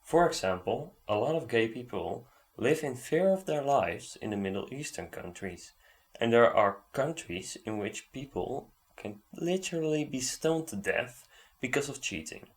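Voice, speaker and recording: A man's voice: mid-range voice, expressive, a little slow. A man commenting on a video clip, rebutting it in an earnest, argumentative way.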